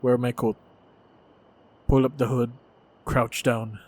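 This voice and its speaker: A man acting a steady, protective fighter in a fantasy action roleplay, speaking to the listener in short phrases with pauses. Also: mid-range voice. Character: steady protective male fighter guiding a princess. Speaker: voice actor in audio roleplay. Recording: noisy, effects-heavy track.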